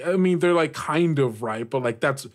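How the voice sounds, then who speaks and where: A man narrating a tabletop roleplaying game as game master, in a halting, conversational way. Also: on the high side, expressive. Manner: halting, conversational, often saying "like".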